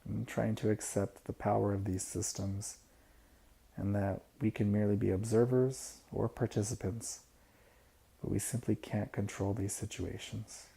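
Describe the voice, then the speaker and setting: A man leading a guided imagery meditation, giving soft-spoken, unhurried instructions with pauses between phrases. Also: lowish voice, level tone, creaky, slightly rough.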